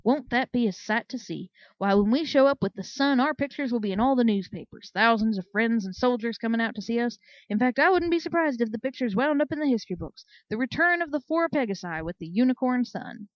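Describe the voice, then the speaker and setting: A woman voicing a dry-humored male pegasus commander in a storybook, firm, deliberate and teasing. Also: fairly high pitch, animated, no room sound, muffled recording, lightly acted. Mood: amused, teasing.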